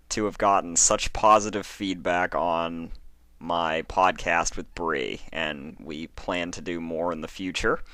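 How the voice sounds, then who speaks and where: Casting: male podcast storyteller, deep voice, informal narration, close dry recording. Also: pushed and bright, smooth, no echo. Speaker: podcaster and fan fiction reader.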